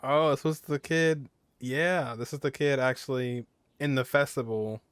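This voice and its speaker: Male gaming streamer, mid-pitched, casual, thinking out loud during play. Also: fairly even intonation, a little slow, clean tone, no echo. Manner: musing aloud to himself. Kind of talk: casual let's-play commentary.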